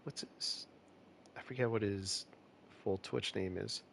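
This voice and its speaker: Gravelly low-voiced man streaming a game. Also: measured pace, a little hiss or hum. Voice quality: a bit nasal, stuffed-up. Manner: relaxed, rambling, many fillers and restarts. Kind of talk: casual live-stream commentary.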